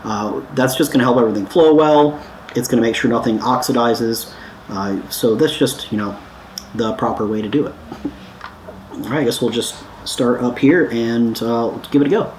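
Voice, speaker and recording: A man's voice: mid-range voice, normal speed, darkish tone, a little hiss or hum, low-quality recording. An American man giving a casual, hands-on DIY repair tutorial in a laid-back, playful, informal way. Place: indoors at home, windows open.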